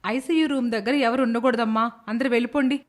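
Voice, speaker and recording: A woman acting an uneasy, complicit nurse in a cartoon moral tale. Her voice is a little high, and she speaks dramatically and expressively. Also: steady tone, fast, close to an everyday voice. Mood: firm. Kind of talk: animated moral story voice acting.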